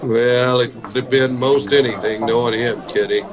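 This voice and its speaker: An actor playing a stern, laconic frontier marshal in a vintage radio Western, speaking in a terse, firm way. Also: male voice, mid-range voice, fairly even intonation, moderate pace, lo-fi sound, a crowd in the background, close to an everyday voice. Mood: subdued.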